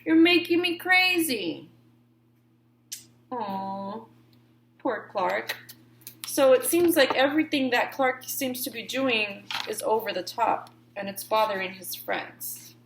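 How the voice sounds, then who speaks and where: A woman's voice: a little high, takes it slowly, reverberant, a little hiss or hum. A woman reading a picture book aloud to children, giving key words dramatic emphasis and adding informal asides. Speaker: read-aloud storyteller.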